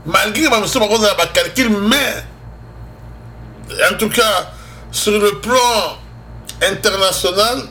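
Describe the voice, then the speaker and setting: A man's voice: sits high, a little slow, long pauses, sharp tone, a little hiss or hum. A Congolese man with a Congolese accent hosting a religious radio show, preaching conversationally and emphatically as he switches between two languages. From Democratic Republic of the Congo. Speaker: religious broadcast host and preacher.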